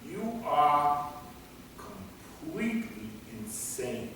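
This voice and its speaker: Man playing a sharp, forceful skeptical guard. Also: fairly high pitch, lively intonation, very slow, vocal fry, heavily acted. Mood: incredulous. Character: skeptical, blunt male guard held captive. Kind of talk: staged reading of a stage play. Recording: echoey hall from far off, faint noise.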